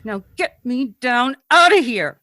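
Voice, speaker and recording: A woman playing a brash, suspicious, panicky society woman in a 1940s-style radio drama, sharp-tongued, demanding and melodramatic. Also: high-pitched, animated, a little slow, no room sound, studio quality, heavily acted. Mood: defiant, angry. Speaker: voice actor.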